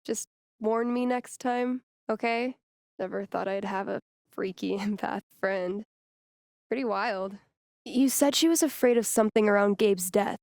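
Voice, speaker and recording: An actress as a wry, unhurried young American woman. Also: mid-range voice, no echo, clean studio sound, natural voice. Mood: wry surprise. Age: young adult. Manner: casual, teasing, deadpan. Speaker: video game voice actress. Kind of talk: naturalistic game dialogue.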